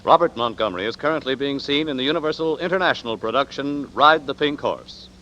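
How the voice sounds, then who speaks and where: A man reading credits and promotions as a 1940s radio announcer, crisp and formal. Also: medium pitch, lively intonation, fast talker, sharp tone, slight background noise. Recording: dull lo-fi 1940s radio broadcast.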